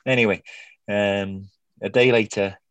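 Welsh man, low voice, dry close sound, chatty, laughs between stories, video call. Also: steady tone, unhurried, fairly bright tone, no room sound. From North Wales. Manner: chatty, laughs often, many fillers. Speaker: goalkeeper guest. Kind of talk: casual storytelling interview.

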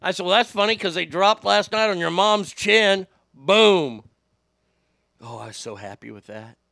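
A middle-aged radio host with a high, bright voice and a gravelly edge tells profane stories in a casual, animated way. The sound is close and dry, with no room around it. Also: male voice, measured pace, no echo. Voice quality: high voice without much bass. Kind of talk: casual storytelling podcast.

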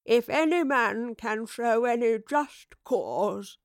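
A woman's voice: on the high side, lively intonation, takes it slowly, dry recording, studio quality. A woman voicing a solemn male priest in a story, intoning formal words of ceremony.

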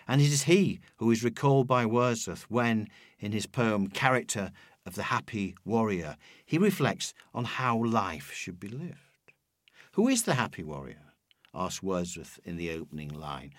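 A man narrating a scripted literary essay in a measured, reflective, instructive manner, quoting verse as they go. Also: fairly low pitch, dry recording, studio quality. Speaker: author reading their own audiobook.

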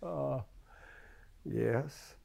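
A man in his seventies with a creaky voice, giving conversational religious teaching in a halting way, repeating phrases for emphasis. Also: mid-range voice, dark tone. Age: 70s.

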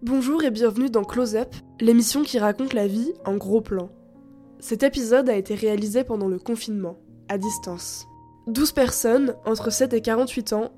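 A woman hosting the show, reading a measured scripted introduction. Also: on the high side, dark tone. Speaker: host. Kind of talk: scripted narration.

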